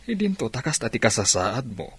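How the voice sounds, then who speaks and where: A kindly older gentleman in an Ilocano radio drama. His speech swings up and down in a lively way, with a slightly husky grain and an Ilocano accent. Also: medium pitch, brisk. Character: kindly older gentleman taking in a needy young woman.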